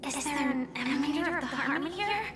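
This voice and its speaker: A woman playing a gentle, determined young female warrior in a video game, earnest and soft-spoken. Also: sits high, animated, fast talker, a loud crowd. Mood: determined, planning.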